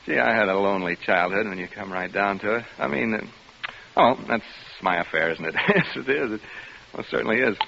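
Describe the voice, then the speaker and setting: An actor playing a nervous radio broadcaster alone in a haunted house, rambling and stammering in old radio suspense style. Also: male voice, on the low side, fairly quick, vocal fry, bright, forceful tone. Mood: rattled, rambling. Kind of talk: 1950s radio suspense acting. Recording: muffled, hissy old radio recording.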